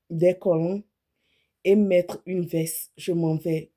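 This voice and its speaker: A woman in her late thirties talks to her viewers like a friend, giving clothing advice with easy frankness. Her voice is warm and mellow, and she often stops to rephrase or correct a word as she goes. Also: on the low side. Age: 30s. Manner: chatty, self-correcting, speaks frankly about her own body. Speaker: image consultant. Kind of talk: casual talk to camera.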